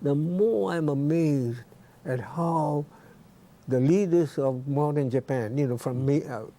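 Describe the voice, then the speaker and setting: A man's voice: on the high side, slow, mellow. A man in his nineties from Hawaii, a retired professor talking casually as an interview guest, searching for words and repeating himself. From Hawaii, United States. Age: 90s.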